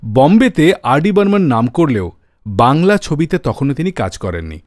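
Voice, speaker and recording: Animated male radio storyteller. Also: mid-range voice, moderate pace, no room sound, studio quality. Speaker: radio show host. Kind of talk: scripted storytelling radio narration.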